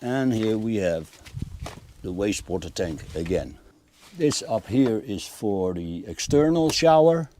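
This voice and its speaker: A man's voice: on the low side, lively intonation, unhurried, warm tone, a little hiss or hum. A man presenting a narrated tour of a motorhome as a vlogger.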